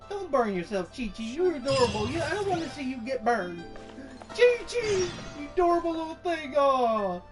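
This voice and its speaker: A man's voice: high voice, low-quality recording. A man giving casual, playful gameplay commentary with sing-song exclamations. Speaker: gaming streamer. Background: game music.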